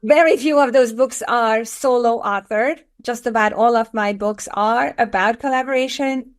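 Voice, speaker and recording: A middle-aged woman from Hungary with a Hungarian accent, a guest explaining her work in a podcast interview with earnest, measured phrasing. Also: on the high side, smooth. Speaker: guest, education author.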